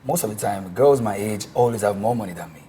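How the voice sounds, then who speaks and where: A man's voice: on the low side, mellow. A man, a guest musician telling stories earnestly in a casual panel chat. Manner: quick, earnest storytelling.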